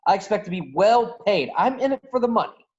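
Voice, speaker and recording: Woman acting a swaggering smuggler, lively intonation, teasing, self-interested, sci-fi table read, muffled lo-fi call. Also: medium pitch, a little fast, natural voice. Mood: dismissive. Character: cocky, self-interested young male smuggler pilot. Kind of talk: virtual script table read.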